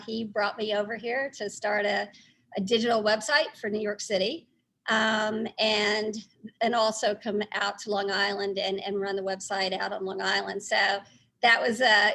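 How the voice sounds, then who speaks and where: A middle-aged woman with a Texas accent, speaking informally and haltingly as a guest recounting her career. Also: mid-range voice, sharp tone, low-quality recording. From Texas, United States. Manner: halting, conversational. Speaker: newspaper publisher, guest speaker.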